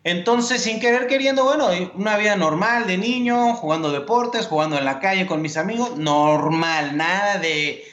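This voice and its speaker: Mexican man, 40s, high voice in a roomy space, relaxed joking storyteller. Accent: Mexican Spanish. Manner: relaxed storytelling, joking, uses "compadre" and "brother". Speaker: TV sports and entertainment host, guest. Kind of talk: casual interview chat.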